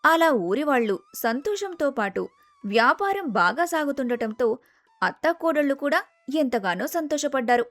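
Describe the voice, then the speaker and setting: A woman's voice: high voice, big pitch swings, normal speed, a bit bright, soft background music. A woman storyteller reading a scripted moral tale as narration.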